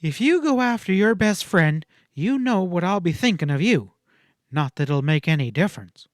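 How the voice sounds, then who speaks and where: Man voicing a fiery, scornful frontier woman, pitched up. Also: lively intonation, normal speed, no room sound, studio quality. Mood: hot anger. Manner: emphatic, cutting, pleading outbursts.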